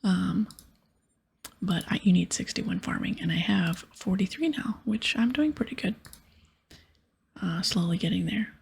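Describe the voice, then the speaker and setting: A woman whispering in a breathy ASMR style as she casually plays through a game, chatting softly and reading dialogue aloud. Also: mid-range voice, a little slow, long pauses. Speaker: host of an ASMR gaming series.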